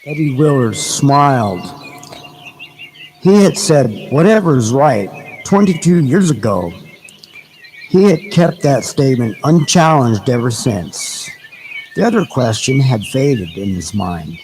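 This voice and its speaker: A man narrates a literary story in a medium-pitched voice. He reads slowly and deliberately, setting each phrase apart with measured, dramatic pauses. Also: sing-song, a little mellow, slight background noise, low-quality recording. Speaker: narrator reading a novel aloud.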